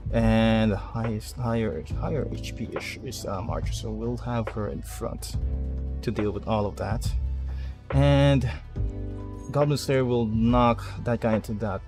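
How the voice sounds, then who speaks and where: A man's voice: fairly low pitch, a little slow, music in the background. A man giving casual gameplay commentary, thinking aloud and muttering half-finished plans with some mild swearing. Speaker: video game streamer.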